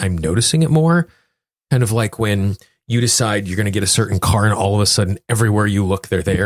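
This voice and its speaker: Low-voiced American man hosting a podcast. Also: no room sound, clean studio sound. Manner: explanatory, direct address to listeners. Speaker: podcast host. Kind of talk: solo podcast talk to listeners.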